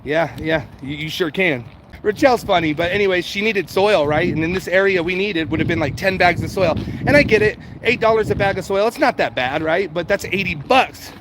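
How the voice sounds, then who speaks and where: A man with a fairly high voice hosts a casual livestream, speaking informally and directly to his viewers with some swearing. He is out and about, with clear outdoor and vehicle noise behind him. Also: a little fast. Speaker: livestream host chatting with viewers. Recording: noisy outdoor street and vehicle background.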